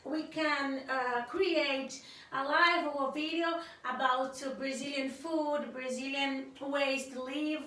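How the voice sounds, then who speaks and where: Slow, high-voiced woman in an echoey room. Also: steady tone, bright, forceful tone. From Africa. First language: Creole. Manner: hesitant, searching for words, many fillers and restarts. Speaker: vlogger on her husband's channel. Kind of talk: casual spoken opinion to camera. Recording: very echoey room, voice far from the mic.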